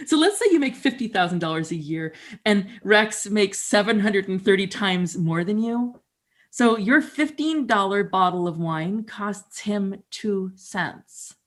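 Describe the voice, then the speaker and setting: A Canadian woman performing a documentary theater reading in an animated, wry manner, speaking directly to the audience. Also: medium pitch. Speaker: musician and performer.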